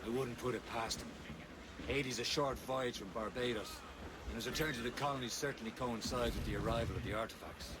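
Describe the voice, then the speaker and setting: An actor playing a stern, grave mentor in a video game cutscene, giving a measured, serious briefing. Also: male voice, medium pitch, lightly acted. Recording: game audio with loud sea noise.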